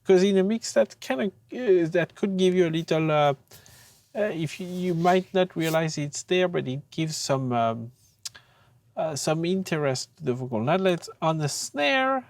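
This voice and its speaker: A tutor giving an informal software demonstration, speaking in a hesitant, halting way with frequent pauses. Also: medium pitch, expressive, no room sound. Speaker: audio mixing tutor.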